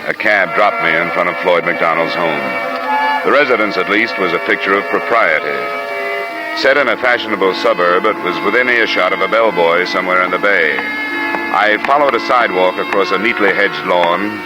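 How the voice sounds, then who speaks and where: An actor playing a hard-boiled, persistent investigator in a 1950s radio detective drama, clipped, dry and matter-of-fact. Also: male voice, low-pitched, moderate pace, over loud music, close to an everyday voice. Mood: narrating. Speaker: lead actor and narrator. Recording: noisy vintage radio recording.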